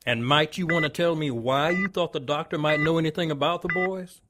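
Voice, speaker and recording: An actor playing a stern, exasperated police lieutenant questioning people in a noir audio drama. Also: male voice, a little high, fairly quick, background noise, lightly acted.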